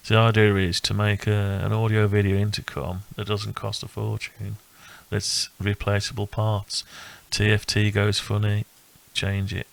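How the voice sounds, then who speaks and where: A man giving a casual, unscripted walkthrough of a hobby build, thinking aloud in a halting, rambling way. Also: lowish voice, completely flat delivery, vocal fry. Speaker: electronics hobbyist presenting own build.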